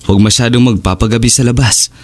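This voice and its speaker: A man with a Filipino accent playing a loving, apologetic boyfriend in an audio drama, speaking in a soft, reassuring way. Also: mid-range voice, steady tone, quick pace, natural voice. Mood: caring, hurried. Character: loving, apologetic boyfriend keeping secrets about his work. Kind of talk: Tagalog audio drama acting.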